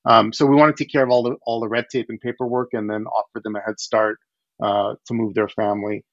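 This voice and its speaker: A man in his forties, born in Ukraine, talking as a startup founder on a video call from his home, explaining with lively gestures and frequent restarts. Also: lowish voice, fast, no echo. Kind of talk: casual video-call interview. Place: home living room. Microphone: headset on video call. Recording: dull, dry headset audio.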